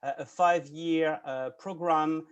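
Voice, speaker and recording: A man in his forties hosts a podcast interview over a video call. His voice sits a little high for a man, and he talks politely but hesitantly, filling gaps with frequent fillers. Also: fairly even intonation, takes it slowly, clear, resonant tone, dull audio. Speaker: podcast host.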